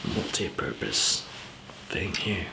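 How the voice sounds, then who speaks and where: A man doing a whispered ASMR roleplay as a calm apocalypse survivor, murmuring in a hesitant, halting way. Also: very deep voice, vocal fry, background noise. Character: calm zombie apocalypse survivor handing out supplies.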